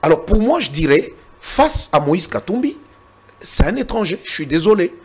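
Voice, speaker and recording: Male guest from Congo, emphatic debater with a husky, animated voice. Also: high voice, normal speed. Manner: emphatic, repeats words when pressed, cites the Bible. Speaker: interview guest. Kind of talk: heated political debate.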